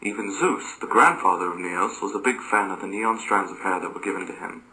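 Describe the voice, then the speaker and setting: A man's voice: sits low, brisk, sharp tone, low-quality recording. A man reading a scripted story as narrator, occasionally stumbling over the words.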